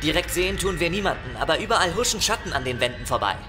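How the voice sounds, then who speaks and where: A man's voice: fairly high pitch, lively intonation, very fast, over music, lightly acted. An actor playing a puzzled male ship's crewman who reports formally in a German sci-fi horror audio drama. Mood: uneasy report.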